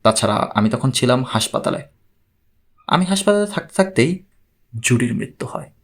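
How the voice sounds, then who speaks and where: A man playing a troubled, courteous man who gravely recounts his own strange story in an audiobook. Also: medium pitch, very animated, moderate pace. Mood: pained, restrained.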